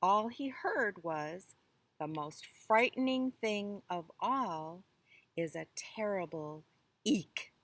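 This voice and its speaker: A woman reading a children's story aloud with expressive, deliberate phrasing. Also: medium pitch, breathy edge, no echo.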